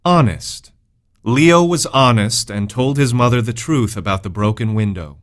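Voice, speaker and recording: A man's voice: mid-range voice, measured pace. A man reading vocabulary words, their meanings and example sentences from a script for learners. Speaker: vocabulary narrator.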